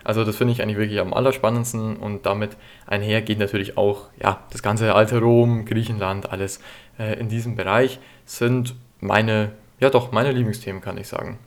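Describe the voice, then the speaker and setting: A nineteen-year-old man hosting a history podcast, answering listener questions in a relaxed, chatty way with frequent fillers. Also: lowish voice, moderate pace.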